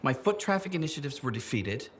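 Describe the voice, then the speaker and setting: An actor playing a fussy, earnest safety officer in a fort. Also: male voice, mid-range voice, very animated, quick pace, slight background noise, natural voice.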